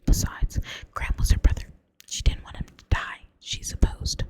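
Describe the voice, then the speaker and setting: Woman from the US South, Southern accent, whispered novel reading, soft and hushed, casual asides. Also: normal speed. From Southern United States. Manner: soft whisper, occasional stumbles and self-corrections. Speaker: reader.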